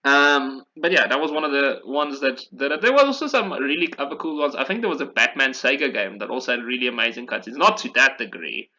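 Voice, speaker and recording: Guy podcast host chatting games, really bright forceful voice, kinda dull lo-fi audio. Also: mid-range voice, a little fast, few pauses. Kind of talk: casual discussion of video games.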